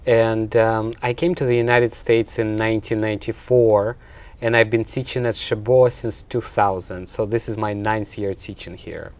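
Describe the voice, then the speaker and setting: A man's voice: fairly low pitch, steady tone, moderate pace, a little mellow, dry recording. A man from Russia with a Russian accent, answering interview questions in an explanatory way, as a college instructor. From Russia and Ukraine.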